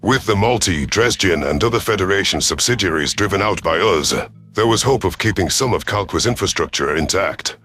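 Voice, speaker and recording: A man reading a first-person science fiction story as a stern alien commander, with formal, measured wording. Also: low voice, animated, fairly bright tone, faint music behind. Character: stern alien reptilian military commander narrating. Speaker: audiobook narrator of science fiction.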